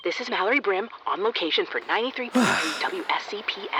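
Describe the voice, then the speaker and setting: Woman acting an animated local radio reporter. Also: medium pitch, quick pace, noisy background, close to an everyday voice. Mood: bright, announcing. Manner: formal, announcer-like. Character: earnest female local radio reporter on location. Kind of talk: scripted radio reporting in audio drama.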